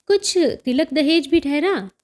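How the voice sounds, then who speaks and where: A woman voicing a sulky, easily offended young daughter-in-law in a story, answering in sharp, curt retorts. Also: sits high, animated, slow. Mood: questioning, reluctant.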